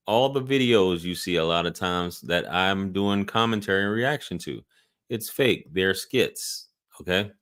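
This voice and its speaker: A man's voice: fairly low pitch, a bit bright, clean tone. A man with a light Southern American accent, casually reading out viewer questions and answering them on a live stream. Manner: laid-back, reads out chat questions and answers them.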